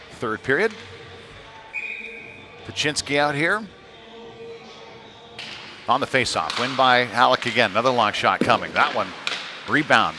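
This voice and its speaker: A man doing live hockey play-by-play in a loose, conversational way, with hesitant pauses mid-call. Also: medium pitch. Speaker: play-by-play commentator. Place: ice rink. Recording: noisy live rink ambience.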